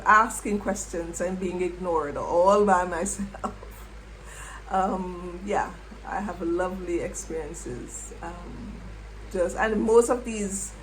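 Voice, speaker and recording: A middle-aged woman, a former English teacher, reflecting on her past in an unhurried way and repeating words as she thinks. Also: fairly low pitch, slight background noise, poor audio. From Jamaica. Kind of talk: reflective personal storytelling.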